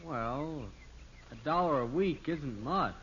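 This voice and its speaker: Wheedling American teenage son, bright forceful tone, boyish, eager, old-time radio sitcom, faint noise. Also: male voice, a little high, takes it slowly. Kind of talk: 1950s radio sitcom acting. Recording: dull, noisy old radio recording.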